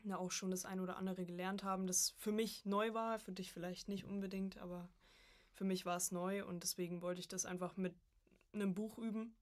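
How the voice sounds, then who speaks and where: A woman's voice: medium pitch, steady tone, a little fast. A young woman chatting casually as a guest on a podcast, a student of voice acting.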